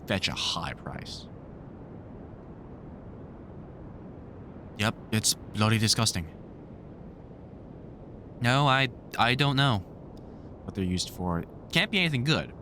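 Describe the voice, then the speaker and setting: A man playing a weary, kindly vampire hunter in an audio roleplay, talking to the listener in a halting, informal way with dry humour. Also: lowish voice, sharp tone, a little hiss or hum. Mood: grim, regretful.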